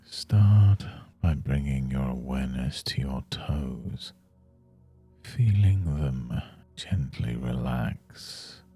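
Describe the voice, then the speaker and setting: A man guiding a scripted meditation in a soothing, measured manner with long pauses between phrases. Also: rumbling low, animated, dark tone, breathy edge. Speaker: meditation guide. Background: faint music.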